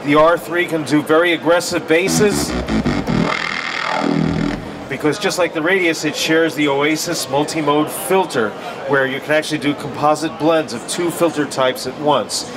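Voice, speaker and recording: A man's voice: on the high side, moderate pace, over loud music, low-quality recording. A middle-aged man giving a product demonstration at a trade show booth over a headset mic. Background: synthesizer sounds.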